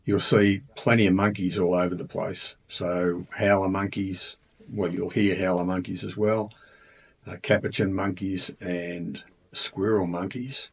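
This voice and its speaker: An Australian man with a slightly low, husky voice talks through his travels in an easygoing, chatty way. He fills pauses with ums and uhs and pokes fun at himself. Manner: relaxed, chatty, many ums and uhs, self-mocking. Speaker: travel vlogger. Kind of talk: casual travel narration.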